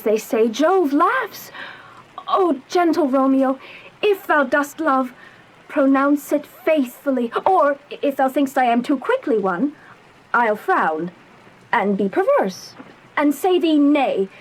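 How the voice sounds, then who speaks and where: An actress playing a young noble maiden in Shakespearean verse, lovestruck and anxious, with an earnest, measured delivery. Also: female voice, sits high, animated, low-quality recording. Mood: bashful pleading. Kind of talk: slow dramatic verse acting.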